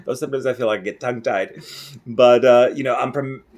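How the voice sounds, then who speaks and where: A man's voice: mid-range voice, steady tone, fairly quick, a little mellow, lo-fi sound. A middle-aged man from Mexico with an American accent, talking casually and a little ramblingly in a daily video diary recorded at home, often laughing at himself. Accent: General American. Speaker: vlogger.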